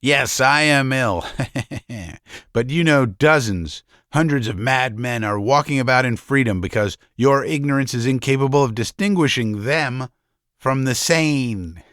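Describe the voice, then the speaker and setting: A man voicing an agitated young male asylum patient who is bitter and scornful, shouting and laughing mockingly. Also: medium pitch, dry recording, clean studio sound.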